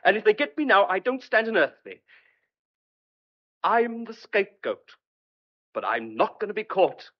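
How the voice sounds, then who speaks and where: A man's voice: sits high, sing-song, normal speed, long pauses. An actor playing a desperate, stubborn but tender man on the run, speaking earnestly and hesitantly in old-fashioned clipped phrases in a radio thriller. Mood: desperate, defiant. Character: desperate fugitive man wrongly suspected of murder, stubborn, tender. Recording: dull lo-fi old radio drama recording.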